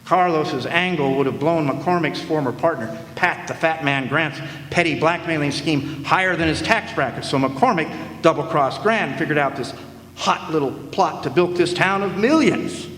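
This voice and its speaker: A man's voice: fairly high pitch, normal speed, a bit bright, natural voice. An actor in a live radio play playing a wisecracking hardboiled male private eye who narrates in a dry, sardonic way. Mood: wry. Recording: echoey hall with faint noise.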